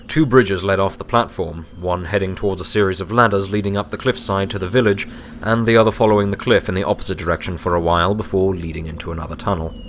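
A man's voice: sits low, fairly bright tone, slight background noise. A man reading scripted fiction as a story narrator.

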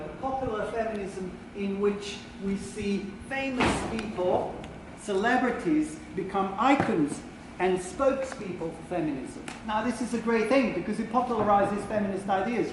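A lecturer explains in a measured, very slow delivery, heard from a played clip. The pitch sits in the middle range. Loud background noise hangs over the recording.

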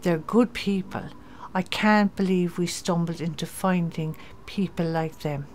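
Slow, low-voiced hopeful wife woman acting. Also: steady tone, a little mellow, slight background noise, close to an everyday voice. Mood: hopeful, tender. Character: hopeful gentle wife and mother among apocalypse survivors. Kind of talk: amateur comic-dub voice acting.

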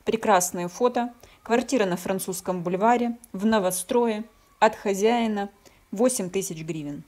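A woman's voice: mid-range voice, moderate pace. A woman reading scripted narration as the narrator of a news report. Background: loud music.

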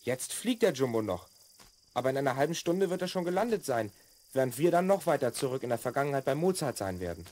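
Lively, self-assured boy time traveler. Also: medium pitch, fast talker. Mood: calm, focused. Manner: explanatory, instructing, assured. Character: confident twelve-year-old boy time traveler from the future. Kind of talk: 1970s German children's audio drama acting.

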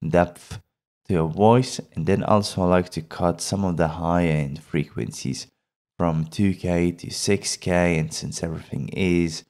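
A man narrates an instructional screen-recording tutorial in a very low, dark voice. His delivery is slow and measured, explaining each step plainly into a close microphone. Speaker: tutorial presenter.

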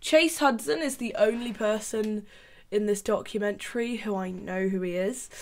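A young woman giving deadpan, sarcastic commentary from her bedroom into a desk microphone. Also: medium pitch, normal speed, very noisy. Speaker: YouTube commentator. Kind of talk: sarcastic commentary on a reality show. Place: small bedroom with colored lights. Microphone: large desk microphone.

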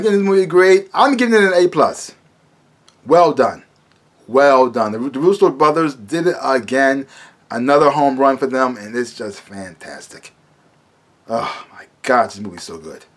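A man's voice: mid-range voice, lively intonation, normal speed. An American man in his thirties giving a casual, emphatic movie review to camera, often repeating his words, recorded in a small room at home.

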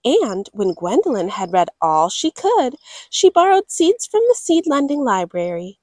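Expressive, high-voiced woman storyteller. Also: dry recording. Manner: deliberate, dramatic phrasing for children. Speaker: children's story time host. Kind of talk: expressive picture-book read-aloud.

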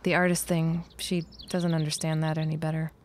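A woman's voice: low voice, flat delivery, brisk, darkish tone, close to an everyday voice. A woman playing a dry, sensible young schoolteacher and artist in a period audio drama, wry and matter-of-fact. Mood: dry, sociable.

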